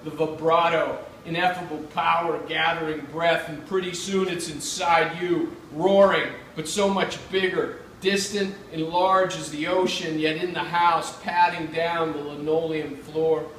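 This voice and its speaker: An American man from Pittsburgh giving a measured, dramatic live reading of his own literary writing. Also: fairly high pitch, flat delivery, fairly bright tone. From Pittsburgh, United States. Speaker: writer reading own work. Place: indoor reading event. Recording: echoey room, far mic, faint noise.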